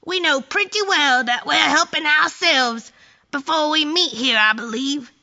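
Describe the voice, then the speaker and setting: An actress playing a brazen, callous Victorian thieving woman in an audio drama, shrill, defiant and unashamed. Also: measured pace, pushed and bright, full character voice.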